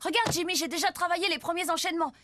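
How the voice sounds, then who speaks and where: A keen young cartoon ninja pupil, with a high voice. The youngster sounds earnest and a bit skeptical. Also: female voice, very fast, a bit bright, a little hiss or hum. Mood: puzzled, keen. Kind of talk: French cartoon dubbing.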